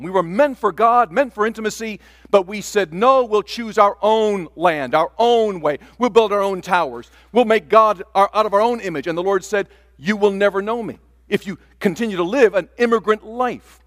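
A man's voice: high-pitched, in a large hall. An older man preaching a sermon from the pulpit, earnest, stretching out key words for emphasis. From Taiwan. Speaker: pastor. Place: church sanctuary.

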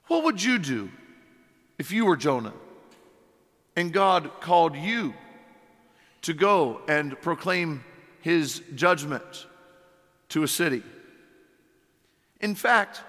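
Hoarse pastor preaching in a reverberant hall. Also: male voice, sits high, pushed and bright. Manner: earnest preaching with rhetorical questions and fillers. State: hoarse, losing his voice. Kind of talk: sermon. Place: church sanctuary.

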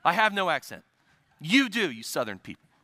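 A man's voice: high voice, very animated, normal speed, pushed and bright, no echo. A man from the Midwest preaching a sermon, building to urgent, emphatic repetition. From American Midwest. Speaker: pastor.

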